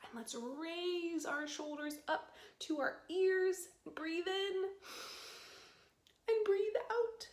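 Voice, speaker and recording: A woman hosting storytime for young children, speaking in a playful, expressive, simple way as she guides and reads aloud. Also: very high-pitched, very slow, reverberant.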